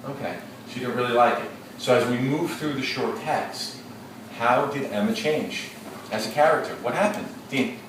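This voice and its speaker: A male teacher reads a story aloud to a class, slowly and deliberately, and stops to think aloud and ask questions. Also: medium pitch. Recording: echoey classroom from far off, background noise.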